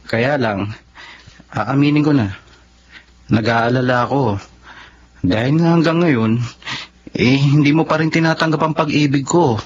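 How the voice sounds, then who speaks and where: A man's voice: mid-range voice, measured pace, pauses often, natural voice. A Filipino man playing an earnest, devoted young suitor in a radio drama, with a pleading, sentimental delivery. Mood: pleading, lovesick. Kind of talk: Tagalog radio drama acting. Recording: dull lo-fi radio drama, noisy ambience.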